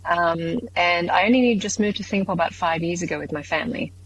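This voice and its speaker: Low-voiced Australian woman, faint hum. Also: brisk, dull audio. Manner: thoughtful, many "um"s. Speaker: guest, business founder. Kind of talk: casual interview answers.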